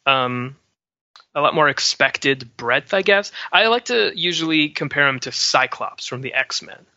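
A man in a casual panel discussion, thinking aloud with hesitant pauses as he gives his opinions. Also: on the high side, expressive, bright, forceful tone, no echo. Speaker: podcast panelist. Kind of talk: casual pop-culture discussion. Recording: dry but dull lo-fi audio.

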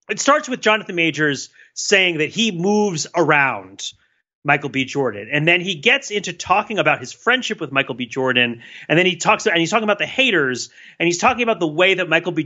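A man's voice: a little high, sharp tone, highs cut off. A man co-hosting a podcast, talking through ideas in a thoughtful, casual way with many fillers and restarts. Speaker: podcast co-host. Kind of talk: casual analytical chat.

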